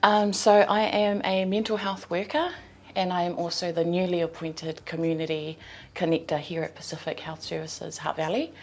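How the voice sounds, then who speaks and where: Earnest New Zealand-born woman, lowish voice. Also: little pitch movement, darkish tone, soft background music. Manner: earnest and measured, with fillers. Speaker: community connector and mental health worker. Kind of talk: scripted introduction to camera.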